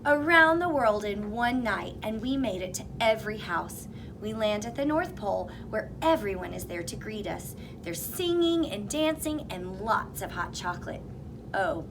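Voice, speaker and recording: A woman at an elementary school reading a picture book aloud, expressive and dropping to a whisper for suspense. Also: mid-range voice, normal speed. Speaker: reader. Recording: recording with clear background noise.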